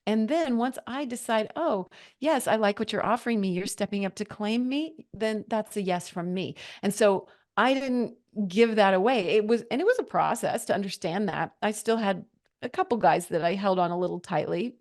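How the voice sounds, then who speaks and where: A woman's voice: medium pitch, normal speed. Woman in her 40s on a podcast, candid and reflective, acts out her own thoughts. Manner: earnest, reflective, with lively quoted inner thoughts. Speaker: podcast guest. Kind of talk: candid personal storytelling.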